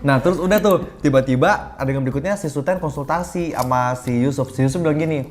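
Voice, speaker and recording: A woman's voice: deep voice, fast, a little mellow. A woman reviewing a film in casual chat, blunt, swearing often and ranting animatedly.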